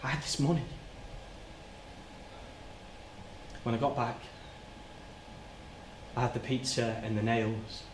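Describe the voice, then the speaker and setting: Male actor as haunted working-class brother, higher-pitched voice, halting and plain-spoken, stage monologue in a roomy space. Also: close to an everyday voice. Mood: hushed dread. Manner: halting, plain-spoken, repeats and stumbles over words. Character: anguished, guilt-ridden working-class man confessing to his sister. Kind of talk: live theatrical monologue. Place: indoor soundstage. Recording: roomy, noisy soundstage livestream.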